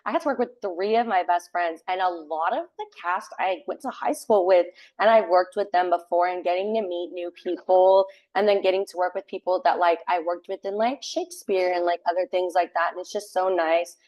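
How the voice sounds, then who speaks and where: A young woman with a loud voice, a theater sound tech and assistant stage manager, chatting casually in a podcast interview and filling her talk with "like". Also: on the low side, brisk, hardly any pauses. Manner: chatty, many fillers like "like".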